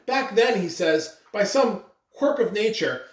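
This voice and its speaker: A person reading a children's storybook aloud with expressive, animated delivery. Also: mid-range voice, measured pace. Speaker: school staff member reading aloud. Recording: roomy, distant mic with clear background noise.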